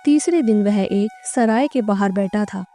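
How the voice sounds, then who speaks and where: A woman's voice: mid-range voice, unhurried, warm tone. A woman reading scripted narration for a moral story as its narrator. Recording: clear background music under narration.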